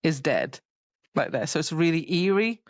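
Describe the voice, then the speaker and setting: A woman's voice: low voice, sing-song, moderate pace, no echo, muffled recording. A woman co-hosting a podcast, loosely and chattily retelling a book in casual speech. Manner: chatty, loose retelling with lots of "like" and acted-out quotes. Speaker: podcast co-host. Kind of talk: casual book recap chat.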